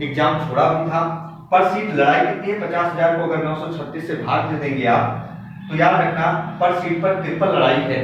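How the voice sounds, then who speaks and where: Higher-pitched male teacher, emphatic repetitive explaining, very reverberant room. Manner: emphatic, repetitive, directly addressing students. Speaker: exam coaching teacher. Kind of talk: informal explanatory lecture on exam figures. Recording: very echoey room, distant muffled mic, noisy.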